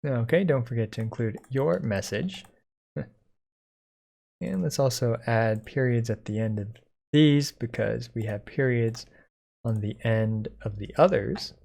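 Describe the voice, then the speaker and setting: A man giving a casual software code-along, thinking aloud informally as he types. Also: medium pitch, unhurried, vocal fry. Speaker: software tutorial instructor.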